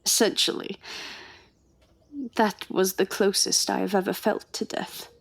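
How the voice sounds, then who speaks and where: A woman's voice: medium pitch, level tone, a little slow, creaky, no echo. A woman playing a witty, stubborn Edwardian undercover agent in a radio drama, British accent, with formal, chatty period phrasing. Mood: wry, weakened. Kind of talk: radio drama acting and first-person narration.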